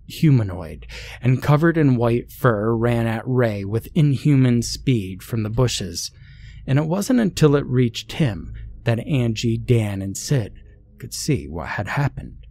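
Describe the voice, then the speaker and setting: A man's voice: medium pitch, dark tone, slight background noise. A man narrating a scary story in a scripted, dramatic storytelling style.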